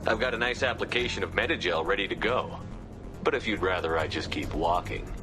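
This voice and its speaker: A man's voice: low voice, brisk, over music, close to an everyday voice. A man playing a stern, no-nonsense heroic space commander in a video game, terse and commanding. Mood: hard, commanding. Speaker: protagonist, squad commander. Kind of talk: scripted video game dialogue.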